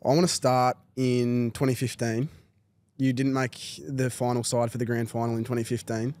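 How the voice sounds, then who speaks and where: A man's voice: mid-range voice, little pitch movement, normal speed, a little mellow. An Australian man in his twenties asking questions in a casual podcast interview. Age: 20s. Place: small indoor podcast studio. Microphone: close broadcast mic on boom arm.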